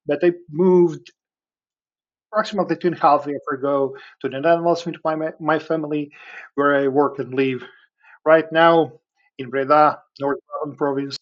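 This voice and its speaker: A man from Serbia with a Serbian accent, speaking conversationally as an interview guest in halting phrases with frequent filled pauses. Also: on the high side, fairly even intonation, dull audio. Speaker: podcast guest, cloud engineer.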